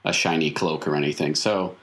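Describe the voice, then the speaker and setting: A man playing a weary, mysterious circus veteran in tabletop roleplay, explaining things patiently and haltingly. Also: a bass voice, steady tone, a bit bright. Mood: explanatory. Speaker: game master. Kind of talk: improvised in-character tabletop roleplay.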